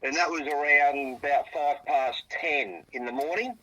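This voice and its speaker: An Australian man tells his story over a phone line. His voice is very bright and sharp. He stops and starts, often repeating words as he goes. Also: on the high side. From South Australia. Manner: halting, repeats words while recounting. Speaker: interviewee, eyewitness. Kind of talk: phone interview. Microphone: phone on speaker.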